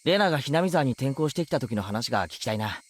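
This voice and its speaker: A man's voice: medium pitch, lively intonation, rapid-fire, close to an everyday voice. A man playing a casual teenage schoolboy in a Japanese visual novel, youthful and informal in his delivery. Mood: curious. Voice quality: youthful, boyish. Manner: informal, rough boyish speech.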